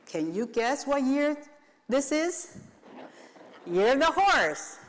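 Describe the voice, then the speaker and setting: A woman's voice: sits high, big pitch swings, slow, a little hiss or hum. A woman from Taiwan, an attorney hosting her firm's client event, giving a playful stage speech and getting the audience to repeat phrases after her. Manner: playful, leads the audience in call and response. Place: large event hall. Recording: long reverb of a large hall.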